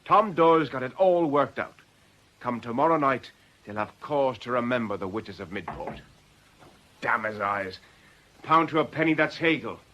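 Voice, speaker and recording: Sly, bright-voiced bullying rogue acted by a man. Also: medium pitch, animated, moderate pace, muffled recording, a little hiss or hum, close to an everyday voice. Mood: coaxing, scheming. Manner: mocking, wheedling, hectoring. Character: sly, bullying rogue, smooth-talking blackmailer and schemer. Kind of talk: radio drama acting.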